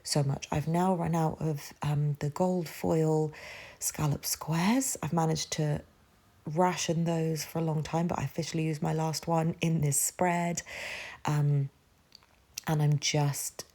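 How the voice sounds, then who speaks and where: A woman's voice: sits low, level tone, slow, dark tone. A woman, speaking a rambling, chatty voiceover for a planner video, her nose stuffy from a cold. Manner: rambling, self-deprecating, lots of fillers. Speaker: planner vlogger. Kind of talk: casual voiceover narration.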